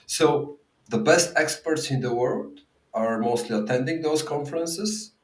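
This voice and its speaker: A man's voice: a little high, measured pace. A man from Bulgaria co-hosting a podcast, chatting casually about tech with lots of fillers and restarts. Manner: hesitant, many fillers and restarts. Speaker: podcast co-host. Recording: roomy, distant from the mic.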